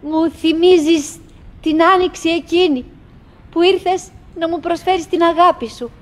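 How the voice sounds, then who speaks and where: An actress playing a wistful, chattering middle-aged wife in a theatrical radio monologue, in broken, repetitive phrases with dramatic pauses. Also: female voice, very high-pitched. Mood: emotional, wistful. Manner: fragmented, repetitive, dramatic pauses with sudden swells.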